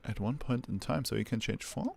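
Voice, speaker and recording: A man's voice: medium pitch, a little fast, creaky. A man, a native German speaker, reacting to a game with casual live commentary.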